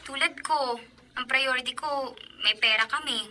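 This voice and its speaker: A woman's voice: sits high, normal speed, sharp tone, a little hiss or hum. An actress playing a principled, thrifty young working woman who is feisty when cornered, in Filipino radio drama style, firm and plain-spoken. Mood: firm, teasing.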